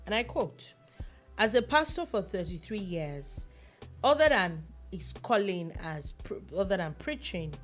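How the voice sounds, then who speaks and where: A woman hosts a radio news chat. Her voice sits at a middle pitch, and she thinks out loud with plenty of ums and restarts. Faint music plays behind her. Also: very animated, a little slow. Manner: chatty, thinks aloud with many fillers and restarts. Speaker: radio show host.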